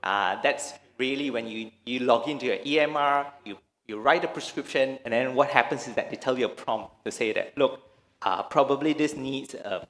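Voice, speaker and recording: A man's voice: mid-range voice, normal speed, fairly bright tone. A man from Malaysia giving a conference talk, with frequent restarts and hesitations. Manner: hesitant, many restarts and fillers. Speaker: conference speaker. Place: large conference hall. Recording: reverberant lecture hall.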